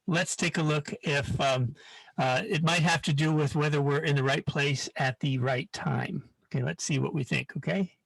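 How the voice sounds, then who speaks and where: Creaky-voiced man teaching science informally. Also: a little high, fairly even intonation, moderate pace, a bit bright, no echo, poor audio. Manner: repetitive, emphatic, often checking with "okay?". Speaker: science educator leading a demonstration. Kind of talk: live online demonstration, informal teaching.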